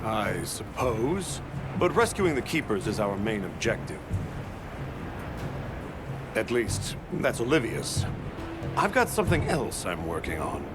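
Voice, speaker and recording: Blunt, aloof investigator, swooping intonation. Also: male voice, fairly low pitch, very animated, a little slow, pauses often. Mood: grudging, intent. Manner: offhand, dismissive, dry. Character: blunt, aloof, grudging male investigator on orders. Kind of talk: video game cutscene acting. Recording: loud music behind. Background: loud music.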